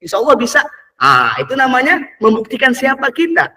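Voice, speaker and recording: A man in his early twenties giving an energetic motivational talk to an audience, repeating phrases and calling out to the crowd. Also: extremely high pitch, brisk, distant mic. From Indonesia. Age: 20s. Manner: energetic, repetitive, calls out "teman-teman" often. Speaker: motivational speaker.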